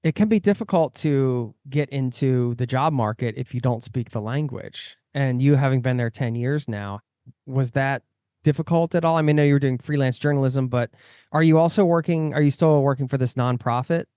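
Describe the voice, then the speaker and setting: A man's voice: medium pitch, moderate pace, mellow, smooth, no room sound, studio quality. A man from the United States hosting a travel podcast, chatting casually and laughing often. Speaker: podcast host. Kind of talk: casual interview chat.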